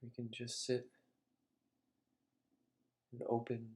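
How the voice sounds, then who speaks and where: A man leading a guided meditation as a teacher, speaking calmly in short, measured phrases with long pauses. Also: mid-range voice.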